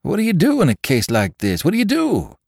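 A man voicing a jocular, nervous traveling salesman in a storybook reading, with high, excitable questions. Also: normal speed, no room sound, studio quality. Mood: anxious.

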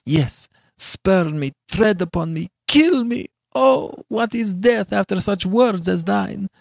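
Man voicing a high-pitched, sorrowful young woman. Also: animated, slow, gravelly, no echo, full character voice. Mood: anguished pleading. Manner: archaic, earnest, lamenting diction. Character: gentle, grief-stricken young woman, innocent and pleading.

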